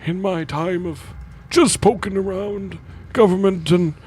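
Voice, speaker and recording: A man acting as game master, narrating and speaking in character in halting phrases with pauses. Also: sits high, fairly even intonation, darkish tone, breathy edge.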